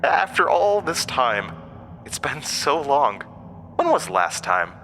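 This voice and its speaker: A man's voice: medium pitch, very animated, slow pace, bright, forceful tone, in a large hall, slight background noise, exaggerated voice. A man playing a gleeful, unhinged sinister entity in a horror audio drama, mocking and familiar. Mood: gleeful menace.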